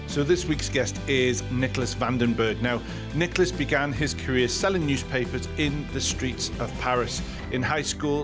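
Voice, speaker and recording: A man hosting a podcast, reading a polished, upbeat intro and then interviewing a guest. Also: medium pitch. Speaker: podcast host and performance coach.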